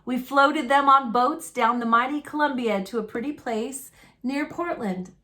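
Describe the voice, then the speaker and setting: Female storyteller for a picture book read-aloud. Bright, forward tone, unhurried, folksy and expressive. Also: sits high. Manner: folksy, dramatic, deliberate delivery.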